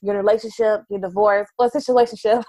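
A woman's voice: mid-range voice, fairly quick, mellow. A woman hosting her show, asking questions in a chatty, playful casual interview. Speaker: podcast host.

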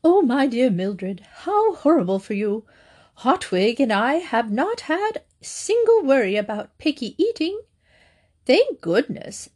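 A woman's voice: high-pitched, darkish tone, voice pushed up, full character voice. A woman voicing a prim, smug neighbor lady who talks in a sing-song way.

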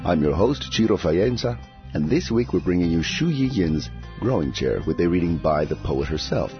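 A man hosting a poetry podcast, reading scripted introductions and sign-offs in a lively, announcing way. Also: low voice, normal speed, muffled recording, faint music behind. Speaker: podcast host.

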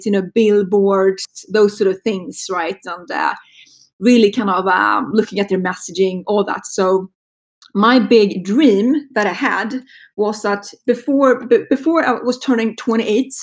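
A woman in her fifties from Sweden with a Swedish accent, a marketing executive telling her story in a relaxed interview, full of fillers and restarts. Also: mid-range voice. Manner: hesitant, many fillers and restarts. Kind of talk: casual interview talk.

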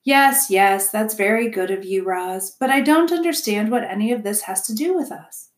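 A woman's voice: mid-range voice, animated, moderate pace, natural voice. Woman doing a grumbling male storybook beaver, stubborn, blustery, fussy, roomy echo.

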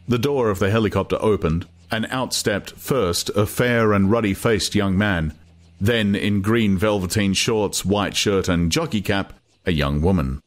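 A man reading literary fiction as a scripted, dramatic audiobook narration, with noise behind him. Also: low-pitched.

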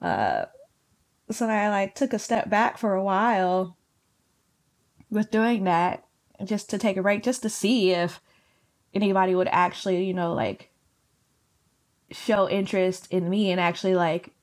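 A woman co-hosting a podcast in casual chat, slightly hoarse, laughing often and filling her talk with "like". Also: mid-range voice, animated, measured pace, long pauses. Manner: laughing, chatty, lots of "like" fillers. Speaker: podcast co-host.

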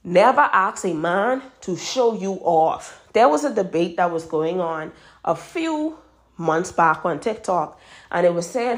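Bahamian girl in her 20s hyping up her listeners with dating advice, kinda low voice, really emphatic. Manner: emphatic, repeats words for stress, addresses listeners directly. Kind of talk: casual advice monologue.

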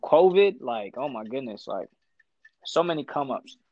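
A man in his twenties, born in Ethiopia, chatting casually as a podcast guest, slangy and quick to laugh. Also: fairly high pitch, vocal fry, muffled recording. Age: 20s. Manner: relaxed, slangy, laughs often. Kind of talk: casual chat.

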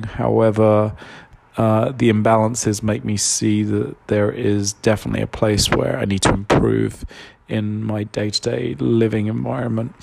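A man, stuffed up with a cold, talking openly and hesitantly in a solo podcast monologue, full of pauses and fillers. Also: lowish voice, gravelly, darkish tone. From London, England. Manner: open, confessional, hesitant with many fillers. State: congested with a cold. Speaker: podcast host.

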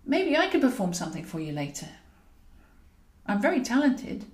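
A woman's voice: on the high side, sing-song, moderate pace, echoey room. A woman reading an audiobook, voicing a flirtatious, easily indignant woman with a theatrical, insistent way of talking. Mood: teasing, suggestive. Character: flirtatious, indignant woman pursuing a reluctant man.

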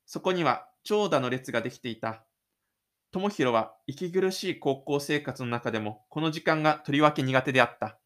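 A man's voice: medium pitch, lively intonation, normal speed, a bit bright. A man reading scripted third-person narration for an audio drama.

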